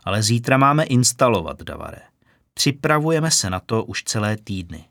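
A man's voice: lowish voice, very animated, a bit bright, dry recording, studio quality. A man voicing a weary male team leader who explains things patiently but insistently.

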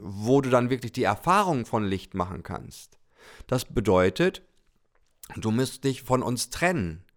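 Man doing God, calm, patient, kindly, gently explaining, storybook podcast. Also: mid-range voice, close to an everyday voice.

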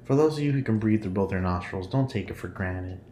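A man's voice: fairly low pitch, lively intonation, brisk, mellow. Casual solo podcast host, man, nasal, giggly and rambling; roomy echo with faint background noise. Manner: rambling, giggly, laughs often.

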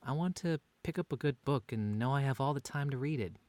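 A man's voice: mid-range voice, fairly quick, dry recording, close to an everyday voice. A man playing a long-suffering husband and father who banters drily in a scripted audio comedy drama. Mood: deadpan exasperated. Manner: dry, deadpan, bantering.

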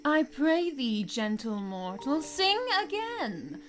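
A woman's voice: high-pitched, big pitch swings, takes it slowly, a little hiss or hum, heavily acted. A woman playing an imperious, lovestruck fairy queen, lyrical and regal, in a Shakespearean audio drama. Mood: enamoured.

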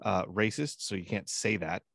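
A man's voice: low-pitched, moderate pace, gravelly, a bit bright, no room sound, clean studio sound. A man chatting casually in a profane, rambling way, often jumping in with interjections. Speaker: talk show co-host. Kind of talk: casual bantering chat.

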